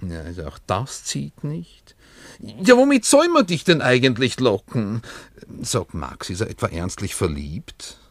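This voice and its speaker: A man's voice: medium pitch, huge pitch swings, unhurried, no room sound, heavily acted. An actor playing a jovial, teasing baron and man about town in a German radio play, chatty, with little tag questions. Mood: coaxing, amused.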